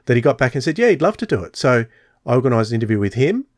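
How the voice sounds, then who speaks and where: A man's voice: medium pitch, sing-song, rapid-fire, no echo, clean studio sound. A man hosting a podcast, chatting casually and telling stories with many fillers. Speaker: podcast host.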